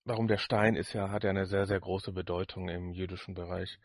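A man's voice: sits low, level tone, fairly quick, no echo, studio quality. A man co-hosting a podcast, commenting casually and often repeating and rephrasing his points. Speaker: podcast co-host.

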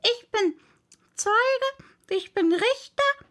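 Woman as storybook dog judge, high-pitched, slow, sly, domineering, declamatory, menacing. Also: animated, fairly bright tone, full character voice. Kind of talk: storybook verse recital.

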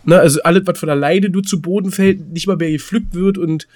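Male podcast co-host, fairly high-pitched, colloquial and animated, teasing conversational delivery. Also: a little fast. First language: German. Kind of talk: casual chat.

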